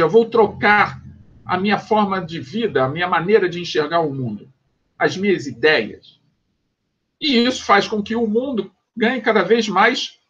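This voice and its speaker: Brazilian guy teaching sociology online, kinda high husky voice, muffled lo-fi sound. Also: a bit bright. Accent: Brazilian Portuguese. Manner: explanatory, checks understanding with quick asides. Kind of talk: recorded online class lecture.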